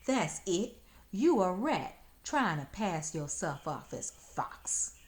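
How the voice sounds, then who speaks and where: A woman's voice: on the low side, very animated, slow pace. Woman as a sassy, unimpressed little country girl. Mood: matter-of-fact. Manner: matter-of-fact teasing in rural dialect.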